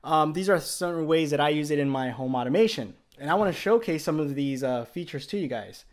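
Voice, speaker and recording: A man's voice: fairly high pitch, animated, a little fast. A man giving a casual tech demonstration as a video presenter, recorded indoors at home. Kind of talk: casual demo narration.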